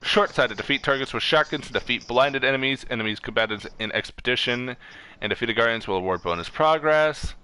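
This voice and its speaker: A man's voice: medium pitch, fairly quick, bright, forceful tone, clean tone. A man hosting a casual gaming stream, reading off lists informally, stumbling over words and correcting himself. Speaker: streamer host.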